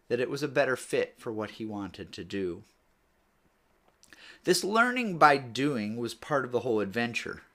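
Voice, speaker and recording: A man narrating a story in a measured read-aloud style, letting his phrases fall away at the ends. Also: mid-range voice, pushed and bright. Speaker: author or reader narrating a book read-aloud.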